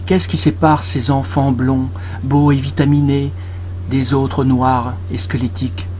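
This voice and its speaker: A man's voice: medium pitch, flat delivery, unhurried, warm tone, slight background noise. A man recites poetry solemnly as a film voice-over, declaiming in short, separated phrases.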